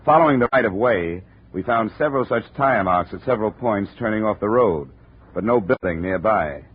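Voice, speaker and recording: American detective, lowish pitch, husky, dry, businesslike, 1950s radio acting, boxy narrow-band recording. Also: male voice, animated, moderate pace. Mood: dry, narrating. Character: brisk, businesslike male insurance investigator, dry and persistent. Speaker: lead investigator and narrator. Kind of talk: 1950s radio drama dialogue and first-person narration.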